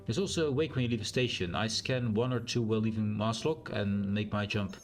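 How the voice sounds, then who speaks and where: Loud-voiced man streaming a game. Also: lowish voice, fairly quick, faint music behind. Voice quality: naturally loud voice. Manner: rambling, thinks aloud with fillers and self-corrections. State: sore, strained throat. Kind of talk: casual live-stream gameplay commentary.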